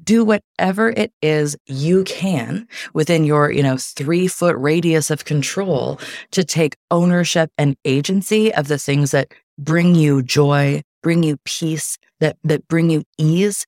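A woman guest talking about mindset in an interview, with a soft, calming delivery. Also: sits low, a little slow, no echo, studio quality. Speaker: guest, life coach. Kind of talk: casual interview chat.

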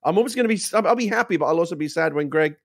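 Loud enthusiastic male co-host. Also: fairly high pitch, animated, very fast, creaky, no room sound, studio quality. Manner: loud, enthusiastic, rambling with restarts. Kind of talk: casual gaming news chat.

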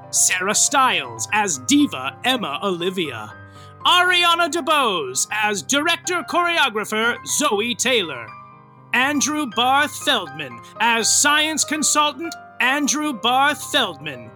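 A man reads podcast credits with theatrical flair. His voice is bright and sharp, and his pitch swings widely. Soft music plays underneath. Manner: playful, flamboyant delivery. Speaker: narrator reading end credits.

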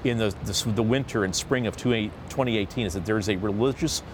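Animated, jokey male panel host. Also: on the low side, fast, background noise. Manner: animated and jokey, with a rising upbeat lilt. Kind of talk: casual roundtable discussion. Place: seated at a table in a city.

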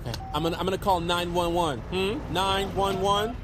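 An actor as a highish-voiced anxious American guy, thirty. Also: fairly even intonation, moderate pace, noisy background, natural voice. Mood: nervous, apologetic. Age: 30s. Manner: nervous, rambling, apologetic. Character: anxious, restless thirty-year-old slacker, earnest and apologetic. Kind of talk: naturalistic audio fiction dialogue.

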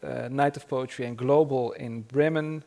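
Gravelly-voiced male moderator. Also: medium pitch, fairly even intonation, a little mellow. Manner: halting, with fillers. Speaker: event moderator. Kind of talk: introducing a guest on stage.

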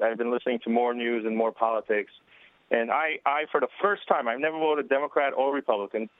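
A man from Syria phones in to a talk radio show, his voice thin and tinny over the line. He speaks earnestly and at a measured pace, pausing often to find his words. The sound is dry, with no room around it. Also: medium pitch, dry recording. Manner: earnest, measured, with frequent hesitations. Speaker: caller. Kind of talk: call-in radio conversation.

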